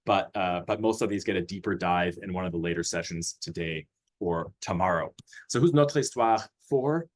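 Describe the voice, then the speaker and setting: A man's voice: low-pitched, normal speed. A man who grew up in Austria presents a school curriculum over a video call, talking in a friendly way with frequent fillers and restarts. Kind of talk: webinar presentation.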